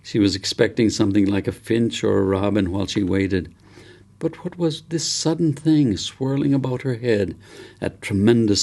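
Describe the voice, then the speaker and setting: A man narrates a story in a low voice with a heavy creak to it. His delivery is measured and gentle, that of an author reading his own novel aloud.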